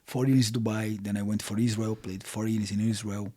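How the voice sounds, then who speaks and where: A man's voice: fairly low pitch, level tone, a little fast, mellow. A man in his thirties from Brazil with a Brazilian accent, a podcast guest talking casually in English with frequent restarts. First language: Portuguese. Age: 30s. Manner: non-native English with repeated restarts. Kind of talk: casual interview talk.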